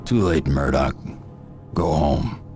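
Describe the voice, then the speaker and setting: An actor playing a grim, menacing vigilante in a video game, terse and deadpan with dry sarcasm and a gravelly voice. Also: male voice, extremely low pitch, slow, full character voice. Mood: curt, dismissive. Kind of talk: video game cutscene acting. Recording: loud music under the voice.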